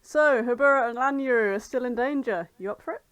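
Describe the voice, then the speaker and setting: A woman's voice: on the high side, fairly quick, fairly bright tone, clean tone, dry recording, voice pushed up, close to an everyday voice. Woman reading as a matter-of-fact young hero. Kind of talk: dramatic fan fiction reading.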